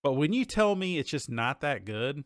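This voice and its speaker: A man's voice: a little high, sing-song, moderate pace, no room sound. Casual man with a dry, close sound. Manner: informal, blunt, swearing. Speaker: podcast co-host. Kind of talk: casual chat about games and shows.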